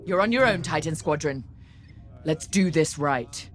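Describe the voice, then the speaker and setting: A woman's voice: low voice, expressive, measured pace, soft background music. An actress playing a proud, ruthless woman starship captain who speaks in a clipped, formal, commanding way in a video game. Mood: stern briefing. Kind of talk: scripted video game cutscene acting.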